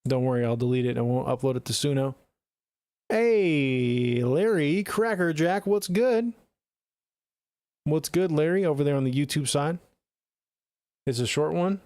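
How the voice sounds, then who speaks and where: A man's voice: on the high side, lively intonation, measured pace, long pauses, a little mellow, no echo. A man hosting a livestream, giving casual music feedback and chatting with viewers in laid-back, slangy talk. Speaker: livestream host reviewing submitted music.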